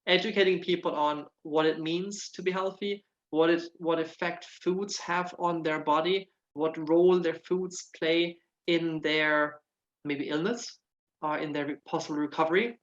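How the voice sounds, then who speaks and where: A man's voice: a little high, fairly even intonation, measured pace, lo-fi sound. A man from Germany with a German accent tinged with Irish, speaking earnestly as an interview guest and often repeating words while he searches for them. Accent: German with some Irish. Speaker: guest, nutritional therapist. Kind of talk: casual interview answers.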